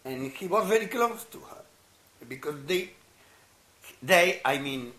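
A man's voice: fairly high pitch, expressive. Older man in a roomy room. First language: Italian. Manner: halting and warm, stammers and restarts, laughs softly. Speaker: interviewee, anthropologist. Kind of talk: reflective interview answers. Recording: roomy echo, faint noise.

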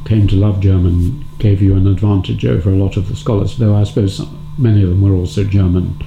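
A man interviewing, asking gentle, probing questions. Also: low-pitched, fairly even intonation, a little fast, vocal fry, reverberant, noisy background. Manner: gentle, probing questions with soft backchannel murmurs.

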